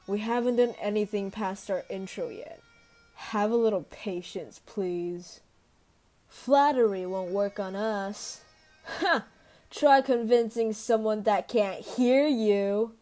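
A woman plays a gruff teenage girl monster, the bully of a video game. Her voice sits at a middling pitch and drags slowly through slangy, sarcastic lines. She sounds tough and sullen, with music playing underneath. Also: warm tone, natural voice. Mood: cocky, mocking. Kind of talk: amateur video game character voice demo.

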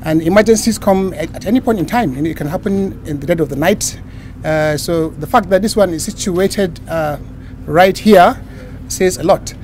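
A man gives remarks to the press, pausing often on fillers. His voice sits a little high. Faint outdoor noise runs under his speech. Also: darkish tone. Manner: hesitant, with many fillers. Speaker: official addressing the press.

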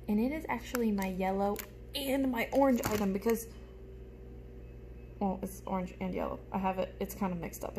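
A young woman speaks like a teacher to little children, in a mid-range voice. She explains each thing in simple, expressive phrases, show-and-tell style. It is recorded on a phone in a room at home, with some light background noise. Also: medium pitch, vocal fry. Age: young adult. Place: small room at home, indoors. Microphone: phone held close in front.

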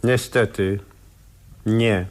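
A man's voice: on the low side, steady tone, extremely slow. A man acting in a radio play as a stern, weary police commissioner, speaking in a curt, official manner.

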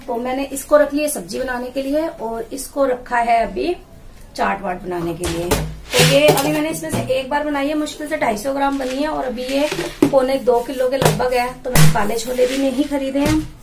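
High-voiced chatty housewife vlogger. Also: female voice, moderate pace, loud music behind the voice. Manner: chatty, explaining while handling jars and bags. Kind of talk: casual home vlog showing groceries. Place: small indoor room with tiled walls and wooden door.

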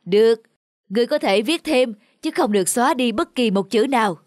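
A woman's voice: a little high, expressive, fairly quick, heavy background noise. A woman performing all the voices of a cartoon folk tale, acted with expression, over music. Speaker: narrator and voice of all characters. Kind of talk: cartoon voice acting. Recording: music behind.

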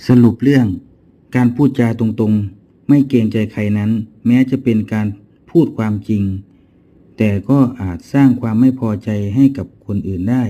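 A man's voice: fairly low pitch, normal speed, warm tone. A man reading a scripted moral summary as narrator, in a dull, muffled recording.

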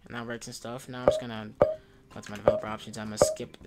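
A man's voice: medium pitch, fairly even intonation, rapid-fire. Young guy doing a screen tutorial, kinda rambly, corrects himself, music n key clicks in back. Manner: informal, rambling, self-correcting. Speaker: tutorial presenter. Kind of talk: casual software tutorial. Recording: music behind, keyboard clicks. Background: music, computer typing and clicks.

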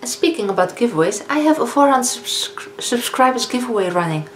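A Dutch-accented woman in her fifties talks through beauty products in a relaxed, chatty way, catching and fixing her own slips. Her voice sits fairly high for a woman. The room is echoey, with a faint hiss underneath. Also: a little fast. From Netherlands. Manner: chatty, self-correcting, hesitant fillers. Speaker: beauty vlogger. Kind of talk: casual product review. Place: living room, indoors. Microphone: camera in front of a seated speaker.

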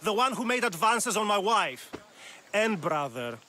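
A man's voice: high voice, very animated, measured pace, bright, forceful tone, slight background noise, exaggerated voice. A man playing a fussy, incredulous games official of ancient Greece in a video game, sarcastic and exasperated. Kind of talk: video game cutscene acting.

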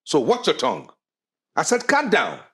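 Mature Nigerian patriarch, low and raspy, stern and commanding, screen drama. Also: male voice, very animated, exaggerated voice. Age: middle-aged or older. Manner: deliberate, commanding. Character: stern, authoritative father, measured and wise.

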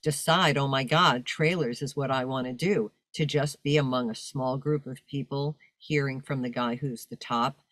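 An older woman with a deep, commanding announcer's voice talks in a big, projecting way. She chats about her work over a video call from home, and the sound is dry and close. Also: fairly even intonation, moderate pace, hardly any pauses, a bit bright, no room sound. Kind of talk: casual interview talk. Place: home room with fur-draped chair.

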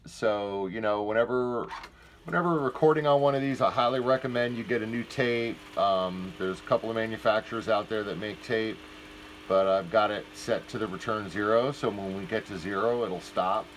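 Low-pitched man, casual demonstration. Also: normal speed, a little hiss or hum, rough recording.

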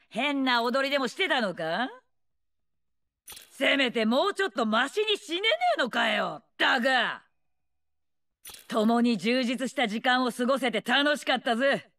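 A bloodthirsty old female warlord for a video game. Her voice is high, bright and forceful, swooping widely as she taunts. She snarls in rough slang, brash, mocking and gleeful in her menace. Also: heavily acted. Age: older. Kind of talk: video game character voice lines.

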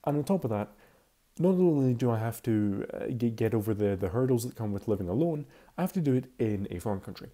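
Low, gravelly young Scottish man. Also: expressive, quick pace, mellow. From Scotland. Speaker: video commentator. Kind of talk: casual gameplay voice-over commentary.

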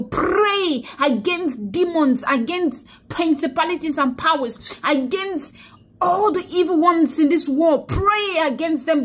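A young woman talks close into her phone indoors, grieving and then preaching, repeating her phrases for emphasis. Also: high-pitched. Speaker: vlogger. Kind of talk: emotional selfie vlog, preachy. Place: indoors, small room. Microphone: phone held close to face.

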